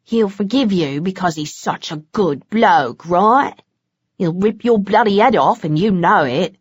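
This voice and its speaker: A woman's voice: mid-range voice, a little slow, husky, no room sound, highs cut off. Woman doing a mocking vampire man. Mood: menacing. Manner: pleasant but threatening, mocking. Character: menacing male vampire, cool and mocking.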